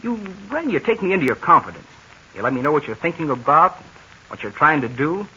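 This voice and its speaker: A man's voice: fairly high pitch, very animated, brisk, pushed and bright, exaggerated voice. An actor playing an earnest, admiring teenage boy in a 1940s radio serial, hesitant and wide-eyed. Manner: eager, wide-eyed, hesitant with "well" and "gee". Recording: dull scratchy 1940 radio transcription.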